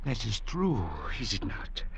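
An actor playing a bitter, desperate castaway with deliberate, mock-courteous, menacing delivery in 1940s radio drama style. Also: male voice, medium pitch, big pitch swings, vocal fry. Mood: mockingly polite. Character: bitter, desperate male castaway, mockingly polite. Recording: noisy vintage radio recording.

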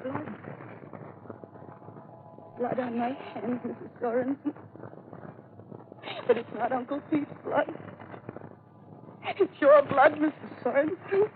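An actress playing a frightened young American woman near hysterics in a 1940s radio mystery, with a breathless, pleading, melodramatic delivery in short halting phrases. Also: sits high. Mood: horrified, dazed. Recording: scratchy, tinny 1940s radio broadcast, heavy noise.

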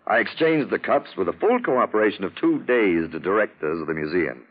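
A suave gentleman detective in an old 1940s radio drama, on a dull vintage recording. His low voice swoops expressively as he lays things out with urbane, witty self-satisfaction. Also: big pitch swings, fairly quick. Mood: amused, self-satisfied. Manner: urbane, theatrical, drawling explanations in lettered points.

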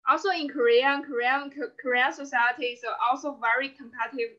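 Bright, high-pitched young Chinese woman. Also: steady tone, muffled recording. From China. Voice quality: non-native English with halting phrasing. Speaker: podcast guest. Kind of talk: casual conversational interview.

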